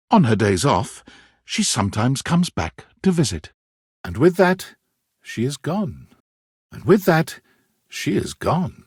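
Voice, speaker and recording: Guy narrating a game, dramatic storyteller, voice swings up and down a lot, bit husky, super dry studio sound. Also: medium pitch, no echo. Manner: theatrical, expressive storytelling. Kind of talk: scripted dramatic second-person narration.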